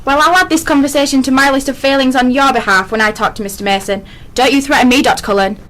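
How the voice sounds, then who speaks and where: Woman playing high-voiced indignant hospital patient. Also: fairly even intonation, quick pace, a bit bright. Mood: defiant. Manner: complaining, disapproving. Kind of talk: radio drama acting.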